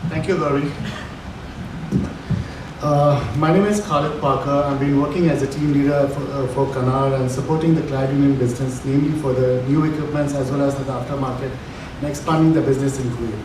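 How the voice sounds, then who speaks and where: A man's voice: mid-range voice, fairly even intonation, brisk. Formal man speaking in an echoey hall. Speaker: local partner team leader. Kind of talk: business presentation. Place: hotel conference hall. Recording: echoey hall from far off, noisy.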